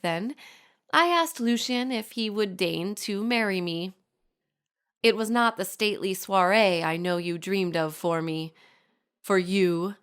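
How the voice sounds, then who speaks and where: A woman's voice: medium pitch, fairly bright tone. A woman playing a genteel young society lady in an audio drama, reading her own letter aloud with formal, refined diction.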